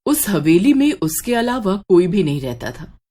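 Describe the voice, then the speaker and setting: A woman's voice: medium pitch, very animated, moderate pace, mellow. A woman storyteller reading a scripted horror story.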